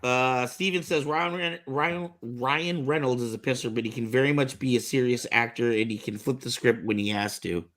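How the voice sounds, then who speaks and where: A man with a bright voice and a mid-range pitch. He speaks as a podcast host in a relaxed conversational style, as if among friends. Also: normal speed, few pauses. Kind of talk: casual chat.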